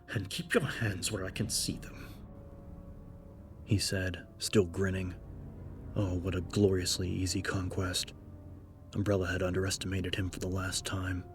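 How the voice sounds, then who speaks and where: A man reading a horror story as a dramatic scripted audiobook narration, with music playing behind him. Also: sits low. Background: game-style background music.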